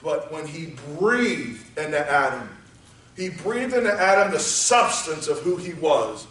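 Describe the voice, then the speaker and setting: A man preaching a sermon, moving from quiet teaching up to shouted exhortation. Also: on the high side, very animated, a little slow. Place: church sanctuary. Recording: echoey church hall, voice from far off.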